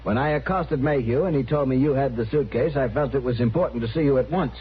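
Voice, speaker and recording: A pompous pushy gent in a radio western, medium pitch, prim, old muffled recording. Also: male voice, fast, slightly rough. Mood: officious, impatient. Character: officious, demanding, self-assured brother-in-law, adult man.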